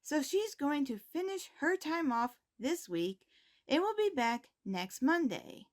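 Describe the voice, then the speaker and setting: A woman playing a poised, caring high school principal, giving scripted announcements in a measured, formal manner. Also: full character voice. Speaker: host reading school announcements.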